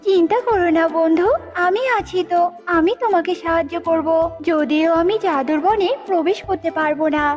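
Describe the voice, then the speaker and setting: A woman's voice: extremely high pitch, moderate pace, a little mellow, lo-fi sound. A woman voicing a kind young fairy in a cartoon, sweet, imploring and childlike. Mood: kind, reassuring. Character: kind young female fairy, gentle helper. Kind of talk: cartoon dubbing. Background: music.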